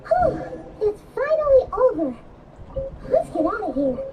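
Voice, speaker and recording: A tiny, childlike game companion creature. The voice is extremely high and squeaky, swinging excitably up and down, on a slightly dull recording. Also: female voice, measured pace, a little hiss or hum, exaggerated voice. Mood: relieved. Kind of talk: cartoonish video game voice acting.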